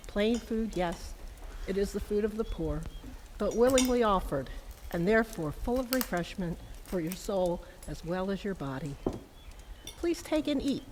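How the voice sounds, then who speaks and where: A woman playing a gentle, timid young village mother in an amateur dramatic Christmas reading, with an earnest, deliberate delivery. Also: mid-range voice, sing-song. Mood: kindly, offering. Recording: long hall reverb, faint background noise.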